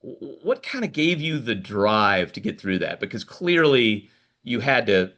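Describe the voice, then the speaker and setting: A man's voice: mid-range voice, big pitch swings, measured pace, a bit bright. A man hosting a podcast interview, chatting casually and rambling with many restarts. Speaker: podcast host.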